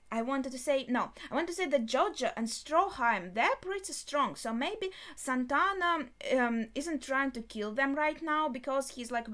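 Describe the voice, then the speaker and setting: A young adult woman giving casual, unscripted reaction commentary, thinking aloud with hesitant pauses mid-thought. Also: sits high, a bit bright. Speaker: reaction video host. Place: small furnished bedroom, indoors.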